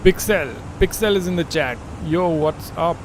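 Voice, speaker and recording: A man's voice: fairly high pitch, a little slow, noisy background. A young man from India with an Indian accent, chatting casually and joking with viewers while thinking aloud during a live stream.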